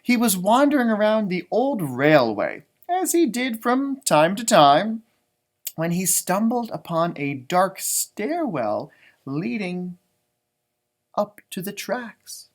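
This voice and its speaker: Man, lively swooping intonation, children's read-aloud, simple chatty explanations. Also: sits high, takes it slowly. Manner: expressive, explains words and pictures simply for children. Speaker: elementary teacher reading a storybook aloud. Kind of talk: storybook read-aloud with informal asides to children.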